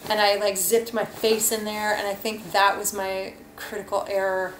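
Rambly thirtysomething woman reviewing her hiking gear on a webcam, kinda flat voice, echoey kitchen. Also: mid-range voice, moderate pace, slight background noise. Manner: chatty, rambling, frank, many fillers. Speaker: hiking vlogger. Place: kitchen at home, indoors. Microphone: webcam at desk. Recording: echoey room, faint ambience.

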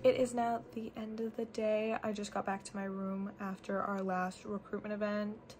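A woman's voice: medium pitch, little pitch movement, moderate pace, a little mellow, soft background music. A young woman talking casually to her phone camera about her day in a small dorm room, full of "like" fillers. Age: young adult. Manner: chatty, peppered with "like". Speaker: college student vlogger. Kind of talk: casual vlog chat to camera. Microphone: phone at arm's length.

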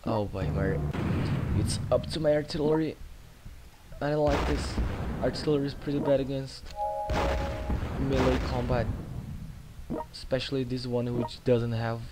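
A man's voice: mid-range voice, a little slow, long pauses, heavy background noise. A young man with a Brazilian Portuguese accent, rambling casually through commentary on his own strategy game, with many fillers and restarts. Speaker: gaming channel host. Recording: loud game music and battle sounds behind.

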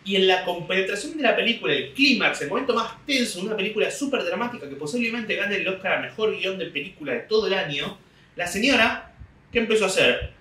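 A man's voice: high-pitched, lively intonation, quick pace, sharp tone, echoey room, recorded from a distance. A young man with an Argentine Spanish accent telling a rambling comic story in a casual monologue. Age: young adult. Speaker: comedian podcast host. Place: small home room indoors. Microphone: desk mic on stand, camera at distance.

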